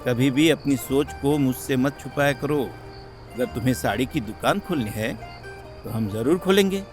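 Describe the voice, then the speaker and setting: A man voicing an affectionate, supportive village husband in a cartoon story, reassuring and expressive. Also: medium pitch, normal speed, faint music behind, close to an everyday voice. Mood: encouraging, teasing. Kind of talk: cartoon moral story voice acting.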